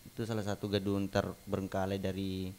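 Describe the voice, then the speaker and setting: A male podcast guest with a low, clean and resonant voice and a Bugis-Makassar Indonesian accent. He recounts things casually and haltingly, often repeating his phrases. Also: completely flat delivery, darkish tone.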